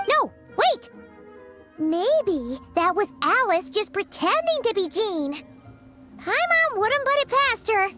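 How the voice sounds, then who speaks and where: A woman voicing a tiny, excitable childlike fairy companion in a video game, with a squeaky voice and exclamatory delivery. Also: slow pace, soft background music, exaggerated voice. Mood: flustered, then knowing. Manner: exclamatory, refers to herself in third person. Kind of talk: video game character acting.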